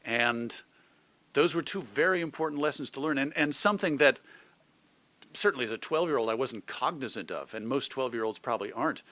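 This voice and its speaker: A man's voice: medium pitch, expressive, a little fast, a bit bright, dry recording. A middle-aged man with an American accent, talking reflectively and articulately as a guest in a conversational interview. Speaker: interview guest, radio host and editor.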